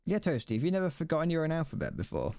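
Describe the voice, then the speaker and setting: A man's voice: fairly high pitch, huge pitch swings, rapid-fire, mellow, no room sound. A young man streaming as he builds a model kit, chatting casually, rambling, correcting himself and stumbling over his words.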